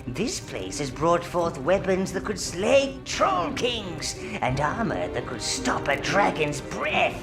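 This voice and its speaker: A wizened old goblin minion creaks out counsel to his evil master over game music. The delivery is theatrical and obsequious, swooping wildly in pitch and drawing words out slowly, with sardonic relish. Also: full character voice. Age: elderly. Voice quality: gravelly, creaky. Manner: obsequious, drawn-out, gleefully sinister. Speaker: advisor to an evil overlord. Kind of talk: theatrical game character dialogue.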